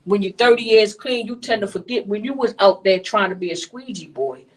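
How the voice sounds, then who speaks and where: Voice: medium pitch, brisk. A moderator running a community panel, conversational and direct, asking the panel questions, picked up from a distance. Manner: conversational, asks questions, keeps things moving.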